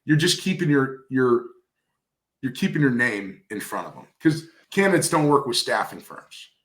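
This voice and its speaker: A man's voice: medium pitch, sing-song, normal speed, a bit bright. A man speaking conversationally in an industry interview, coaching emphatically and repeating key phrases for stress. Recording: roomy, echoey sound.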